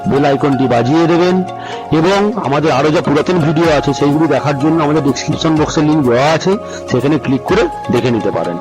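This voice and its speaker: A man's voice: fairly high pitch, quick pace, poor audio. A man reading a scripted channel outro as announcer. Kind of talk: scripted outro asking viewers to subscribe. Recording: prominent music behind the voice.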